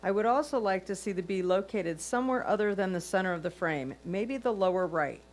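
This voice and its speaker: A woman reads out written comments on photographs for a club competition. Her pitch is average for a woman. She speaks as a reader relaying a judge's prepared notes. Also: animated, brisk. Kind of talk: reading written photo critiques aloud.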